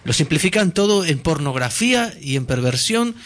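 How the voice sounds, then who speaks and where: A man's voice: fairly high pitch, sing-song, moderate pace, fairly bright tone. A man explaining ideas in casual conversation, hesitant, with many fillers and restarts.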